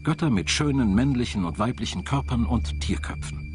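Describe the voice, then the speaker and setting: A man reads scripted documentary narration in a measured, articulate, storytelling way. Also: mid-range voice, animated. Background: background music.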